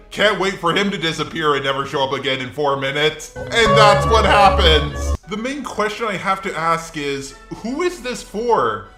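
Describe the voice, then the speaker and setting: A bright, forceful synthetic male voice, pitched a little high, delivers sarcastic scripted commentary. It has a robot-like text-to-speech sound and rants its opinions, with a slightly echoey finish. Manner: sarcastic ranting. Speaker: video commentator.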